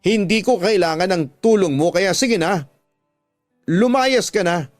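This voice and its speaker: A man's voice: high voice, a little slow, no echo, studio quality, soft background music, pitched above the performer's own voice. A man voicing a betrayed wife in a radio drama, bitter, wounded and confrontational, with emphatic, accusing delivery. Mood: angry, wounded. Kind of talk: Tagalog radio drama acting.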